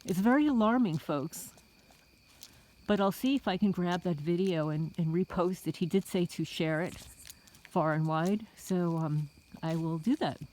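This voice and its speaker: A woman's voice: lowish voice, unhurried, a little mellow, low-quality recording. A middle-aged woman from the Bronx talking to viewers in a casual, indignant vlog monologue full of rhetorical questions. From the Bronx, New York. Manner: indignant, rhetorical, addresses listeners as folks. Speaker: homesteading vlogger.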